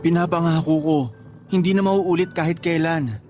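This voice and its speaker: Actor as penitent young boyfriend, devoted and pleading, terse apologetic lines, radio drama with faint music behind. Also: male voice, fairly high pitch, steady tone, moderate pace, a little mellow, natural voice. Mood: remorseful, pleading. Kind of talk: Tagalog radio drama acting.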